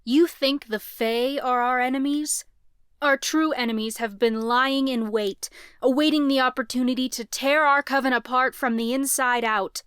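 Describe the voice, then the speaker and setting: A woman voicing a zealous man proclaiming loudly to a crowd. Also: sits high, fairly even intonation, moderate pace, clear, resonant tone, no room sound, studio quality, pitched above the performer's own voice. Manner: loud, proclaiming.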